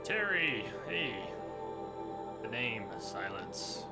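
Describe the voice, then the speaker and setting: A man hosts a game livestream and chats casually with viewers. His voice is mid-range, with game music clearly audible behind him. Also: mid-range voice, big pitch swings, extremely slow. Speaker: game streamer.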